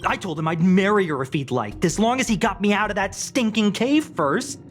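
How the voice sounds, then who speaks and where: Game character, grumbling office worker, self-deprecating, excitable, highly varied pitch. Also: male voice, a little fast, soft background music. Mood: incredulous, sardonic. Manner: sardonic, theatrical. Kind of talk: video game voice acting.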